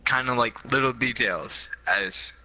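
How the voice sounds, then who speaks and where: A man's voice: mid-range voice, unhurried, sharp tone, low-quality recording. A teenage boy chatting with friends, joking and sarcastic, often stammering over the start of his sentences. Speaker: friend on call. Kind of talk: casual chat.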